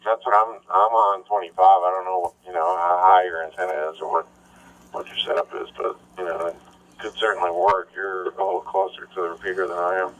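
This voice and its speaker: A man's voice: low voice, steady tone, brisk. A man in a casual two-way chat as an amateur radio operator, heard through a radio transmission. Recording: dull lo-fi ham radio repeater audio.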